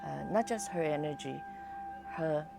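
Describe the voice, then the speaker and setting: A woman with a low voice gives a personal testimonial at an unhurried pace. Her delivery halts and stretches out between phrases. Soft music plays faintly behind her. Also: gravelly. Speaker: client giving a testimonial.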